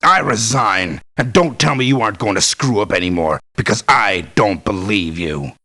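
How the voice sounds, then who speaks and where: An actor playing a blunt, cynical, tough-talking mercenary in a video game. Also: male voice, fairly low pitch, expressive, normal speed, bright, forceful tone, no echo, heavily acted. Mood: defiant, fed up. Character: gruff, blunt male mercenary, cynical about pay. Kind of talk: video game character voice lines.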